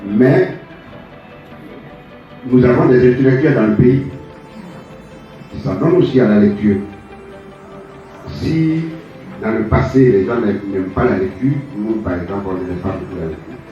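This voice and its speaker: A man's voice: fairly low pitch, dull audio. Man in a very echoey hall. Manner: deliberate, emphatic, repeats phrases for stress. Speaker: author answering audience questions about a book. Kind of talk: spoken answers at a public book presentation. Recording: echoey hall, distant mic, music behind.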